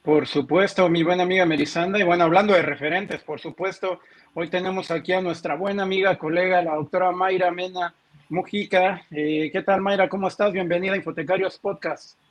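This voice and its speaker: A man's voice: high-pitched, fairly even intonation, fairly quick. A man hosting a podcast, chatting casually and courteously as he interviews a guest. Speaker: podcast host.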